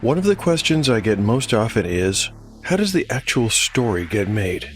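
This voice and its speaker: A man with a deep voice narrates a fantasy audio drama as its game master. His delivery is scripted storytelling, set over clearly audible music. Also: very animated, moderate pace.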